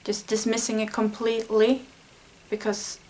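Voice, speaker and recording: Swedish woman, native speaker of Swedish, earnest lesson vlogger; light-pitched, steady, little melody. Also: normal speed, poor audio. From Sweden. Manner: earnest, thinking aloud with small fillers. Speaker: language learning vlogger. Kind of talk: casual explanatory vlog lesson.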